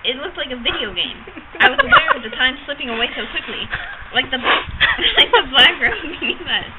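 Young woman, amateur dramatic reader, slightly high pitch, giggly delivery, noisy tinny outdoor camcorder audio. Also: sing-song, a little fast. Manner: theatrical, often breaking into giggles. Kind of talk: dramatic read-aloud of a novel, giggly and informal. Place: open-sided park picnic shelter outdoors. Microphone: camcorder across a picnic table.

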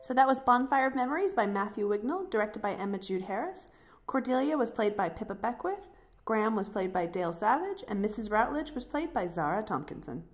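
A woman's voice: medium pitch, sing-song, brisk, soft background music. A woman co-hosting a podcast in a casual, chatty style. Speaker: podcast co-host. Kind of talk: casual chat.

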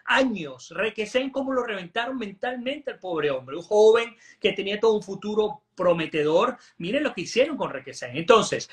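A man's voice: sits high, lively intonation, normal speed, hardly any pauses. A man, giving emphatic political commentary as a guest on a video call, often repeating words as he restarts. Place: home room indoors. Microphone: video call webcam.

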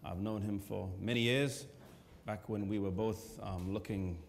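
A man in his thirties with an English accent, preaching in a conversational way with pauses and fillers. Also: on the low side, steady tone, vocal fry, a little hiss or hum. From England. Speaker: pastor. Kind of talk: sermon presentation. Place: church hall with a seated audience. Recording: long church hall reverb.

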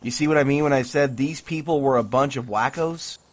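A man's voice: medium pitch, fairly quick, lightly acted. A man playing a wry, evasive skeptic in an audio drama, dry and offhand. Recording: faint outdoor nature noise. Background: birds, outdoor ambience.

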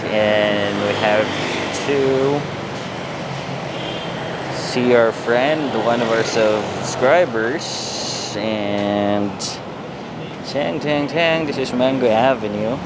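A man with a Filipino accent narrates a night walking tour outdoors, talking haltingly with many fillers into a handheld camera mic. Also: medium pitch. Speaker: vlogger giving a walking tour. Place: busy city street at night, outdoors. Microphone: handheld camera mic while walking. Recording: loud street traffic noise. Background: loud traffic and street noise.

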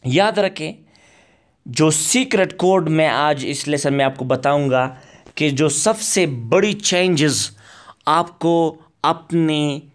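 A man teaching from slides, talking slowly with many hesitations and reading English lines out very slowly. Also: on the high side. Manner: slow, halting, many "uh" fillers. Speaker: accent teacher. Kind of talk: slide lecture.

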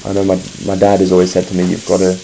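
A man's voice: sits low, completely flat delivery, racing, creaky, warm tone, a little hiss or hum, low-quality recording. A man in his twenties from England with an English accent, talking casually and a little hesitantly in an interview. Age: 20s. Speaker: aspiring restaurateur and home cook.

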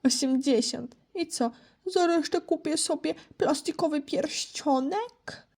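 A woman's voice: sits high, slow pace, darkish tone. A woman voicing a small young male wolf in an audio drama, guarded and snide, with a curt, dismissive delivery. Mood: dry, snide. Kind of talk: Polish amateur audio drama acting.

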